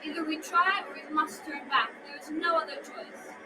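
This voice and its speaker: A woman's voice: sits high, fairly even intonation, normal speed, recorded from a distance, a crowd in the background, background noise, close to an everyday voice. A girl of about twelve or thirteen playing a wise, loyal talking pony in a school radio drama, reading earnestly and solemnly. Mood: steadfast. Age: child, around 12-13.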